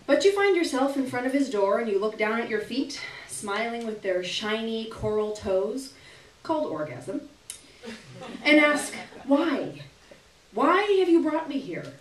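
A young woman performs a short story in a fairly high, very lively voice, phrasing it deliberately and theatrically. She is heard from a distance in an echoing small room. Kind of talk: expressive literary reading to a live audience. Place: small indoor gallery reading event. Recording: roomy live venue, distant camera microphone.

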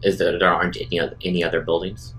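A man playing a tabletop roleplaying game, chatting casually and thinking out loud, with some noise behind him. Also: low-pitched, flat delivery, quick pace, soft background music, low-quality recording.

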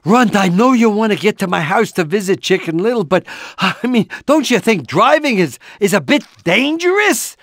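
A man's voice: sits high, big pitch swings, normal speed, a bit bright, dry recording, clean studio sound, full character voice. A man voicing an anxious, encouraging, portly middle-aged rooster father in a cartoon video game, with a hesitant, rambling, fatherly manner. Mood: worried urging. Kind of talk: animated video game character lines.

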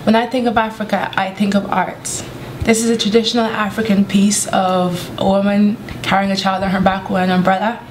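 Earnest teenage schoolgirl describing things, steady mid voice, faint background noise. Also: mid-range voice, brisk. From Nigeria family, lives in Ireland. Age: teens. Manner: earnest, explanatory, some ums. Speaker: student presenter. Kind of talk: explanatory school presentation. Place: school library, indoors.

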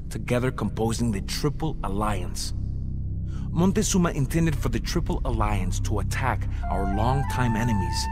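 Loud music plays behind a man acting as a proud Aztec jaguar warrior. He narrates a game cutscene in a solemn, measured way, with a mid-pitched male voice that rises and falls dramatically. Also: natural voice. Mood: grave, dramatic.

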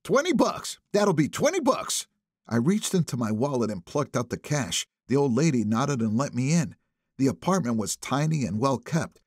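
A male audiobook narrator with a husky voice and very lively pitch. He reads first-person thriller prose dramatically, building suspense, in a dry close sound. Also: normal speed, dry recording. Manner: expressive, suspenseful phrasing.

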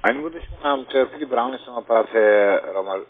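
Fast-talking salesman on tinny phone. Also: medium pitch. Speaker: telephone sales caller. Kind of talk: sales cold call. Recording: tinny, narrow phone line.

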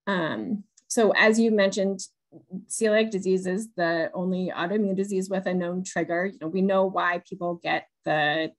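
Woman moderating, mid-pitched voice. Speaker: moderator, patient-advocacy research director. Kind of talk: conversational webinar questioning. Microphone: video call on wired earbuds.

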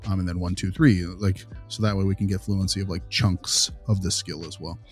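A man's voice: deep voice, steady tone, fairly quick, faint music behind, natural voice. A man playing an easygoing behavior consultant, talking informally and reassuringly in a role-played meeting with a parent. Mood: explaining.

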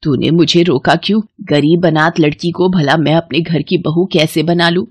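A woman voicing a scheming, bossy older mother-in-law in an animated moral story, with a commanding, scornful manner. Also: low-pitched, moderate pace, breathy edge, no room sound. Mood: scornful, sly.

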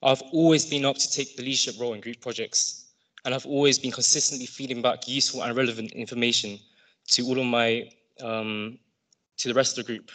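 A young man reads a prepared campaign speech from notes at a lectern microphone. His voice sits at an ordinary male pitch, and he keeps a steady pace with a few restarts. The sound has the open feel of a large lecture hall. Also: brisk. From London, England. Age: young adult. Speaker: student society presidential candidate.

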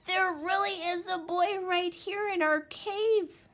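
A woman voicing a mischievous young male wolf cub who shouts and pleads in a shrill voice. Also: moderate pace. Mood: excited, pleading.

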